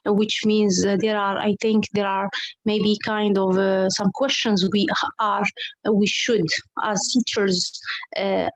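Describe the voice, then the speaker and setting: Halting woman, slow and hesitant. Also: medium pitch, dry recording, low-quality recording. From Morocco. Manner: hesitant, halting, many fillers and restarts. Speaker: English teacher and club coordinator. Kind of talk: online round table discussion.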